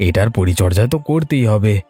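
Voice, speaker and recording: A man's voice: on the low side, expressive, brisk, mellow, husky, soft background music. A man playing a kind, principled village fishmonger, patiently reasoning in a cartoon story. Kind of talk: Bengali cartoon story acting.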